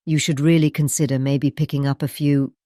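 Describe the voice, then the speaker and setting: A woman's voice: low voice, level tone, brisk, dark tone, no echo, clean studio sound, close to an everyday voice. A woman playing a stern but kindly elderly grandmother in an audio drama, firm and chatty in a matronly way. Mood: friendly, chatty. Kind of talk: audio drama character acting.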